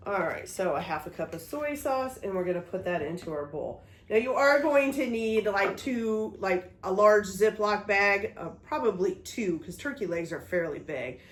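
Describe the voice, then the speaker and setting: Woman, getting over a cold, chatty cooking host, step-by-step explaining, echoey kitchen. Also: mid-range voice. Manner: chatty, talks through each step, frequent "okay?" check-ins. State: recovering from a cold, voice a bit off. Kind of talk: casual cooking demonstration. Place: home kitchen.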